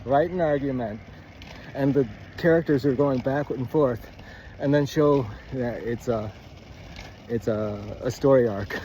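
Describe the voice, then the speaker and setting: A man giving a rambling, informal lesson as a writing coach, thinking aloud in a halting, stammering way and often wandering off on tangents. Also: mid-range voice, mellow, a little hiss or hum, poor audio. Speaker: writing coach, presenter of a video series.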